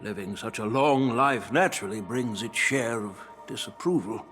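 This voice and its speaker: A man's voice: on the low side, moderate pace, fairly bright tone, close to an everyday voice. An actor playing a genial, easygoing elderly male general who chuckles and avoids taking sides, in a game cutscene. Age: older. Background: background music.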